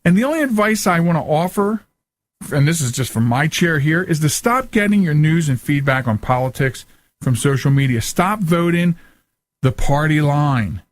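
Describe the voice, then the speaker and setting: An American man with a slightly high voice talks as a podcast host. His delivery is casual and unscripted, earnest and emphatic, circling back over his phrases. The sound is dry and close. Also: animated, moderate pace, no echo. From United States. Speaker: talk show host.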